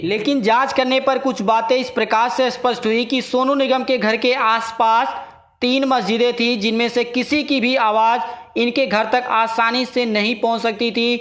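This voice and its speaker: An educational presenter with an Indian accent and a mid-range pitch narrates a current-affairs lesson. The delivery is didactic and orderly, moving from one numbered point to the next and explaining terms along the way. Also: a bit bright.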